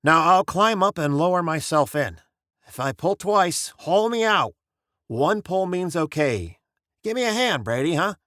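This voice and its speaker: Casting: dutiful survey engineer, lively, dry close sound, earnest and respectful, 1950s radio acting. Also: male voice, fairly high pitch, measured pace, no echo. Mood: brisk, determined.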